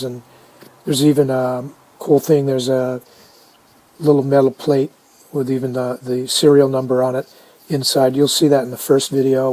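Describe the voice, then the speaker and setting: A man casually narrating a hands-on restoration video, unhurried and halting as he thinks aloud. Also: mid-range voice, a little mellow, low-quality recording. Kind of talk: casual narrated how-to commentary.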